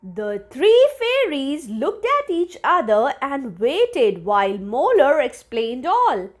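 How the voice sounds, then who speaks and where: A woman reading a children's story aloud in an expressive, deliberate teacherly manner. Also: high-pitched. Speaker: storybook reader for young children.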